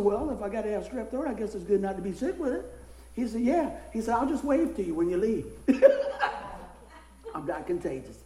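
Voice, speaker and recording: A middle-aged man, a pastor, preaching a casual sermon with a hoarse voice that he is losing, folksy and animated in his stories. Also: reverberant, poor audio. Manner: folksy, animated storytelling with rising pitch in anecdotes. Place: church.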